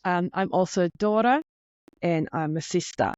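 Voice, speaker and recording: A woman's voice: on the low side, normal speed, a little mellow, no room sound. Finnish accent woman in her 30s, earnest and kinda nervous, dry close mic, bit dull sounding. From Finland. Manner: earnest, openly nervous, heartfelt. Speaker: podcast host. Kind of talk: solo podcast monologue.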